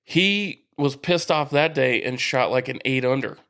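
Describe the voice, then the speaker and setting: Man, mid-pitched voice, casual sports podcast chat, laid-back, colloquial. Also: unhurried, fairly bright tone.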